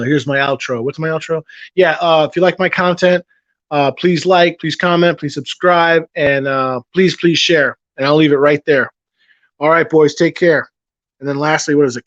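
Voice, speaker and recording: A man chats on a late-night livestream, working through viewers' messages in a rambling way, often restarting and repeating his sentences. His voice sits a bit high for a man and sounds worn, as if he is losing it after hours of talking. The sound is dry and close, with no room around it. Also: fairly even intonation, moderate pace, no echo, dull audio. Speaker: livestream host reading chat.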